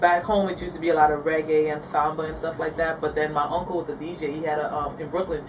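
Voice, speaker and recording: A woman's voice: sits low, level tone, fast talker, far from the mic, noisy background. Easygoing woman rapper from Guyana. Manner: laughing, easygoing, candid. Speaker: rapper guest. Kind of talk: casual interview chat.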